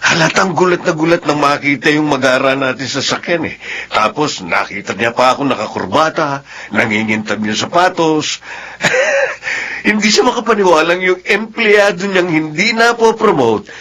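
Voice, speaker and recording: Ambitious middle-aged husband, Filipino,: highish, lively, boastful drama delivery. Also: male voice, moderate pace, heavily acted. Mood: pleased, boastful. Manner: boastful, eager.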